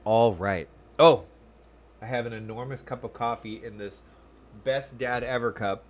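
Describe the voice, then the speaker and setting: American man, game streamer, medium pitch, casual, chatty, rambling, self-deprecating, answering chat. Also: measured pace. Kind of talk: casual livestream chat over gameplay. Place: small room at home.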